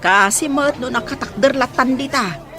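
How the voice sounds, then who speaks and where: A woman playing a bossy but warm-hearted shopkeeper aunt in an Ilocano radio drama. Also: high-pitched, normal speed, soft background music, a faint crowd. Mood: kindly, coaxing.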